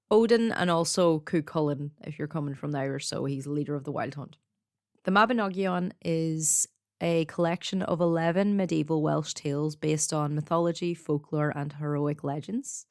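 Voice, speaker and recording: A woman's voice: sits low, dry recording, studio quality. A woman co-hosting a podcast, telling folklore in a conversational, explanatory way with informal asides. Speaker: podcast co-host. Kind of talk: conversational storytelling about folklore.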